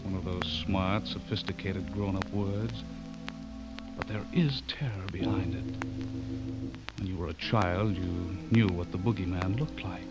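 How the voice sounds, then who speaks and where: An actor playing an intense horror writer with an insinuating manner, in an old-time radio drama style. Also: male voice, deep voice, a little slow. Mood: sinister, taunting. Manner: insinuating, menacing when reciting. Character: intense male horror writer, sinister when reading aloud. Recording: muffled old radio recording, loud music behind.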